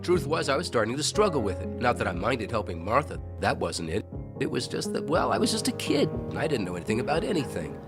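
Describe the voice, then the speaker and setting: A man's voice: medium pitch, sing-song, fast talker, over loud music. A man playing a folksy older country fellow who earnestly recalls his boyhood in an audio drama. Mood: earnest, confiding.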